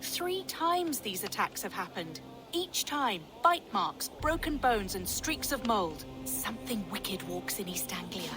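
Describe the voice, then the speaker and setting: A woman's voice: medium pitch, sing-song, measured pace, music in the background. An actress voicing an earnest, grave Saxon woman in a video game, speaking formally. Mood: grave, insistent. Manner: grave, formal archaic phrasing. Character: earnest Saxon woman wary of wicked beasts. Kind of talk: dramatic video game acting.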